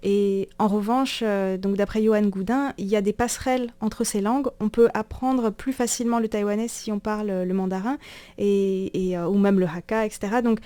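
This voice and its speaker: A woman presenting in a conversational radio discussion, explaining things with frequent hesitant pauses. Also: mid-range voice, fairly even intonation, dark tone.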